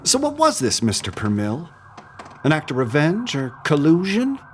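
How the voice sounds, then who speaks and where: A man's voice: a little high, wildly swooping pitch, normal speed, slight background noise. An actor playing a suspicious male interrogator in a comedic audio drama, asking pointed, knowing questions. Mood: suspicious, probing.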